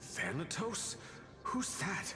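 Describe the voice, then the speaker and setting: A man's voice: a little high, huge pitch swings, takes it slowly, noisy background, exaggerated voice. An actor playing a jittery, polite male spirit in a video game, speaking in a formal, theatrical way. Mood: puzzled. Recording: game music behind voice.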